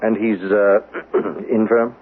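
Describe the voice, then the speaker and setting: An actor playing an elderly, gentle, wistful minister in a 1970s radio drama, speaking in a courteous, hesitant, halting way. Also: male voice, fairly low pitch, flat delivery. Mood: concerned, hesitant. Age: older. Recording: muffled lo-fi radio recording with faint noise.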